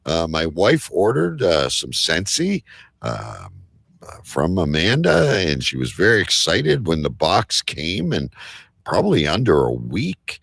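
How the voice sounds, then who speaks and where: A man hosting a live show in rambling, casual banter, halting at times and then suddenly emphatic. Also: sits low, huge pitch swings, creaky, fairly bright tone, slightly rough, no room sound, studio quality. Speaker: host of a live online show.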